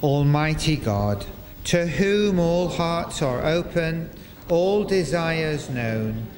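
An older man leading a church service, reading liturgy and prayers to a congregation in a measured, formal way, phrase by phrase. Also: fairly high pitch, animated. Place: inside a parish church, congregation present. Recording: echoey church hall with noisy ambience.

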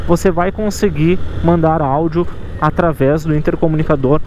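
A man's voice: a little high, moderate pace, a little mellow, a little hiss or hum. A Brazilian man giving a casual, conversational tutorial to his viewers, often checking in with short tag questions. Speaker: motorcycle courier video host.

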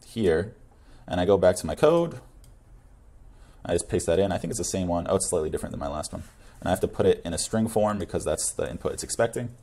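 Fast-talking young man, lowish voice. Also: darkish tone. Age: young adult. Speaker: tutorial presenter. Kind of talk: casual screencast coding tutorial.

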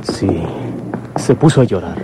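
A man playing a grave, considerate son in a radio drama, speaking politely and haltingly. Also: sits low, expressive, loud music behind the voice, poor audio. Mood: reassuring. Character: grave, considerate son breaking bad news.

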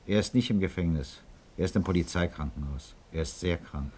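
An actor playing a calm, fatherly middle-aged police commissioner in a German radio crime drama, patient and persuasive as he coaxes and probes. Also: low voice, brisk, echoey room, close to an everyday voice. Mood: gentle, paternal.

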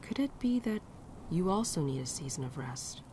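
A woman acts out a gentle female winter spirit, an affectionate old friend with a low voice. She speaks softly and playfully in an intimate ASMR roleplay, often slipping toward a whisper. Birdsong and a light outdoor ambience sit behind her. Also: big pitch swings, moderate pace, a little hiss or hum. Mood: gentle, reflective. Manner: soft, tender, playful, often dropping to near-whisper. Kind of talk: girlfriend ASMR roleplay, softly acted monologue.

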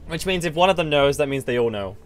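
A young man in his twenties improvising a Minecraft roleplay, jokey and quick to laugh. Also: fairly high pitch, lively intonation. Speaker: roleplay player.

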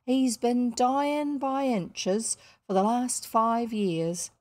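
A woman's voice: fairly high pitch, steady tone, extremely slow, darkish tone, pitched above the performer's own voice. A woman voicing a blunt, scolding working-class housekeeper in a storybook, with unrefined rustic speech and dropped letters. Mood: grimly frank.

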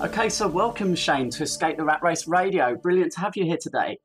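A man's voice: a little high, lively intonation, fairly quick, over music. A man hosting a business podcast, reading an enthusiastic introduction and asking interview questions. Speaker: podcast host.

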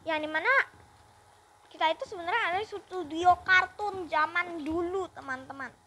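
A gaming YouTuber giving upbeat, chatty let's-play commentary and constantly addressing the viewers. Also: female voice, very high-pitched, moderate pace, sharp tone, slight background noise.

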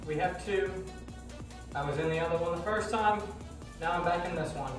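A man's voice: on the high side, moderate pace. A young man casually walking through the steps of a woodworking job, plainly and step by step, with fillers, filmed on a phone in a large shop. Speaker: woodworker demonstrating. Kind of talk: casual how-to walkthrough. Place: large woodworking shop and spray booth, indoors and out. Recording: echoey shop with music behind.

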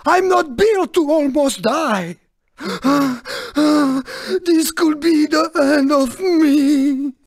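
A sneaky, irritable little French car from a video game. The voice is high and gravelly, with a French accent and unhurried pacing. Delivery is haughty, exclamatory and theatrical. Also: male voice, dry recording, clean studio sound, exaggerated voice. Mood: alarmed. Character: small, irritable, boastful, sneaky French male cartoon car.

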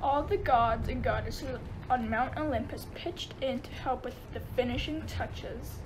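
A woman's voice: on the high side, a little slow, background noise, close to an everyday voice. A woman narrating a myth as scripted storytelling for an amateur radio play.

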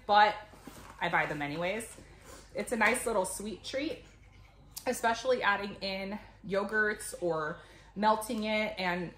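A woman in her thirties chatting casually and explaining things to camera as a home vlogger. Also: mid-range voice. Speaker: vlogger, mother showing a grocery haul. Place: home kitchen, indoors. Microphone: camera a few feet away. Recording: echoey kitchen, noisy, clicks and rustling.

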